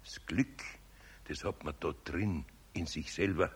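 An actor playing a patient, gruff but kindly older craftsman in a children's radio play, with a gravelly, husky voice and a Bavarian accent. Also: sits low, a little slow, slight background noise. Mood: earnest, patient.

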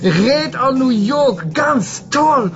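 A man's voice: very high-pitched, expressive, drawn-out words, lo-fi sound, heavily acted. An actor playing a playful, exuberant German man who mixes German into his Flemish Dutch in a TV crime drama. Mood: excited, childlike. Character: flamboyant, playful, tipsy German man, video-game fan.

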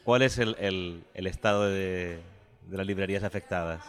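A man with an accent from Spain co-hosts a radio documentary. His voice sits fairly low as he interviews guests and narrates between stops. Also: normal speed, a bit bright, clear, resonant tone. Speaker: radio co-host.

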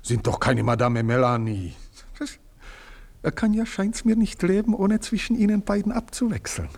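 An actor playing a scheming, self-assured household servant in a radio play, speaking in a clipped, officious manner. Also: male voice, lively intonation, normal speed, a little mellow. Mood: insistent. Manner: clipped, officious, bending pitch sharply.

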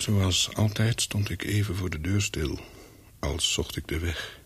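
An actor playing a wary, secretive English hotel guest in a radio play, telling his story in short, measured sentences with a husky voice. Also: a bit bright, slight background noise. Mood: brooding, reflective. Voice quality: low, husky. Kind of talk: radio play, first-person narration with dialogue.

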